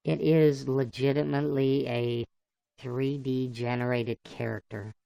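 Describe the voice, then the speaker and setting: A man with a gravelly, voice-changed sound, chatting casually and easygoing as he hosts a livestream. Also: medium pitch, little pitch movement, a little slow, warm tone, no room sound. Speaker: livestream host playing a computer-generated fox.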